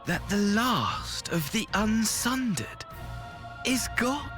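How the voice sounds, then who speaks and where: Game villain, theatrical and mocking, high swooping voice, slow relishing delivery. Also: male voice, sing-song, music in the background, full character voice. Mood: scheming. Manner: grandiose, sing-song and mocking, bursts of manic laughter. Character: flamboyant, gleeful, mocking male villain servant. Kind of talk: theatrical game cutscene acting.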